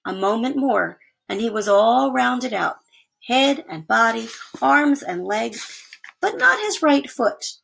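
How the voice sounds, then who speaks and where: Woman reading a kids book out loud, expressive, bit of vocal fry. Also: fairly high pitch, slow pace, poor audio. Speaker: story reader. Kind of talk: expressive children's storybook reading.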